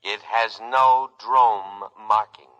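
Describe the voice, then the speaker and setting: An actor playing a solemn, protective military lifeboat computer in a formal, declamatory 1950s radio drama style. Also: male voice, fairly low pitch, fairly even intonation, slow, bright, forceful tone. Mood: vigilant, suspicious. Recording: dull, lo-fi 1950s radio recording.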